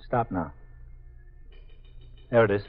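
An American man playing a brisk, watchful police sergeant who gives clipped orders in a 1950s radio drama. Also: fairly low pitch. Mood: brisk, alert. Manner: clipped, commanding. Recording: dull 1950s radio broadcast.

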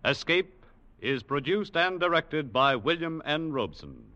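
A man's voice: a little high, sing-song, measured pace, heavy background noise. A man announcing on 1940s radio in a formal, polished manner. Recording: muffled vintage radio broadcast.

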